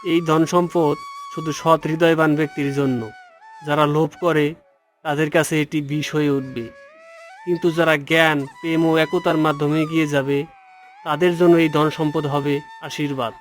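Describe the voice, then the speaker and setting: Man acting as noble king, solemn, formal proclamation style, slightly high male voice, cartoon storybook, background music. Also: little pitch movement, normal speed, natural voice. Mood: solemn, warning. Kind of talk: cartoon fairy-tale dialogue and proclamation.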